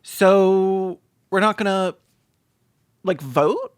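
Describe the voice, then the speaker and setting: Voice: mid-range voice, drawn-out words, very noisy. A sarcastic host giving mocking, theatrical comedic commentary in a reaction show.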